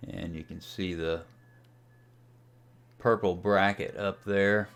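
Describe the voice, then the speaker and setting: Low, clear-voiced man thinking aloud. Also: fairly even intonation, slow, a bit bright. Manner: unscripted, thinking aloud while operating. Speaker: amateur radio hobbyist demonstrating software. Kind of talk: casual screen-share tutorial narration.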